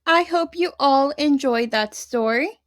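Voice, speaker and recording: A woman's voice: high-pitched, a little slow. A young adult woman, a first grade teacher, reading a picture book aloud to her class and talking to her students.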